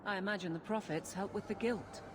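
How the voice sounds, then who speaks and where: A woman's voice: mid-range voice, a little fast, noisy background, lightly acted. An actress playing a proud, stern, sceptical warrior woman in a video game, firm and curt. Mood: scornful. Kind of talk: video game cutscene acting.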